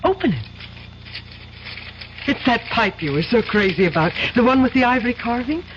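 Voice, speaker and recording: Coaxing young American woman, very animated. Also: fairly high pitch, moderate pace. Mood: teasing. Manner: playful, cajoling, pointed. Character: coaxing, teasing, shrewd young society woman, lawyer's fiancée. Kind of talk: 1970s American radio drama acting. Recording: dull, noisy old radio broadcast.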